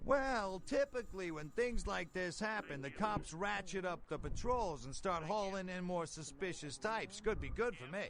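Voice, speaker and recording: A man's voice: sits high, animated, normal speed, few pauses, a crowd in the background. An actor playing an easygoing, slick bail bondsman in a video game, with folksy, slangy salesman patter. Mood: matter-of-fact. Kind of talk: video game character acting.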